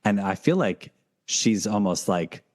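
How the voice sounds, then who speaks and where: A man with a very deep voice hosting a casual podcast conversation. He talks in long, rambling turns and often restarts his sentences along the way. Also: animated, unhurried, a little mellow. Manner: rambling, full of fillers and restarts. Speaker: podcast host.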